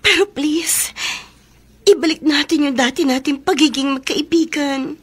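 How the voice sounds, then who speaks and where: Slow, high, timid young woman. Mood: remorseful, pleading. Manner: halting, pleading. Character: timid, lovesick young woman confessing to her best friend. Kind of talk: Filipino radio drama acting.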